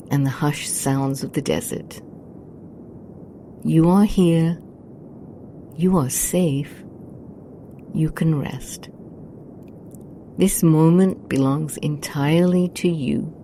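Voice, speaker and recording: Slow, low-voiced woman guiding meditation. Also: a little hiss or hum. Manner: soothing, hushed, unhurried delivery. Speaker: guided meditation host. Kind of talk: scripted guided meditation narration.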